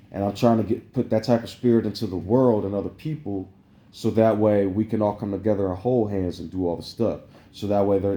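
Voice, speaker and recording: A man's voice: lowish voice, mellow. A man delivering a meandering, preachy spiritual monologue to camera, full of "like" fillers. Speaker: vlogger. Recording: roomy echo.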